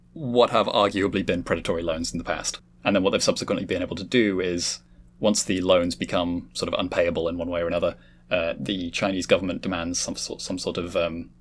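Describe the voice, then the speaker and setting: A man hosting a casual podcast discussion, talking in a wordy, explanatory way. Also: sits low. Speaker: host leading a discussion.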